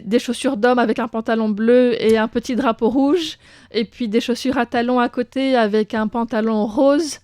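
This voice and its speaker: A woman hosts a radio interview, her voice a little high. She asks her questions in a clear, measured way. The sound is dry and close, like a studio mic. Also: darkish tone, no echo. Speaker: radio host.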